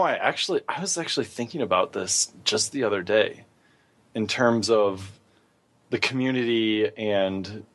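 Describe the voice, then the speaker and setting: Mid-pitched man thinking aloud. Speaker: podcast co-host. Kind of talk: casual gaming discussion.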